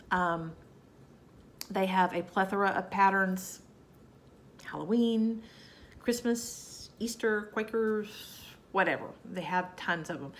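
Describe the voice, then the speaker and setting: A woman's voice: medium pitch, fairly bright tone. A woman with a Southern US accent chatting casually about her cross-stitch projects, her voice nasal and scratchy from a cold, rambling with fillers and asides. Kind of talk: casual chat to camera.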